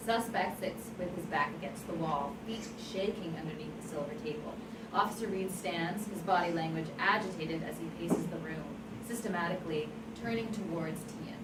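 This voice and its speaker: A woman's voice: low voice, moderate pace, far from the mic. A woman reading scripted stage directions as narrator at a table read. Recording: very noisy, echoey room from afar.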